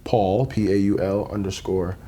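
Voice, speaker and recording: A man from Jamaica with a low, mellow voice talks as a podcast guest in someone's home. He explains carefully and pauses often on ums. A faint rumble of noise sits behind him. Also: flat delivery. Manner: measured, explanatory, many ums. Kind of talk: casual conversational podcast. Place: home, after dinner.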